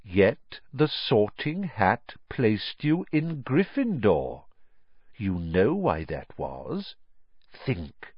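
A man voicing a calm, kindly old headmaster wizard, speaking gently and with measured care in a dry, slightly muffled audiobook recording. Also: lowish voice, slightly rough, dry recording, heavily acted. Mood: calm, wise. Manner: gentle, measured, soft-spoken.

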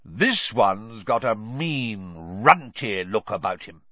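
A man voicing a loud, drunk, bullying middle-aged woman who sneers with contempt. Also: fairly low pitch, animated, slow, no echo, highs cut off. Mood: sneering.

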